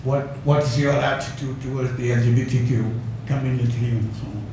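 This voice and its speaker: An older man giving a conference talk, hesitant with many fillers and soft chuckles. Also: medium pitch, fairly even intonation, moderate pace. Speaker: academic speaker. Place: lecture hall. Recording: echoey hall, distant mic, noisy.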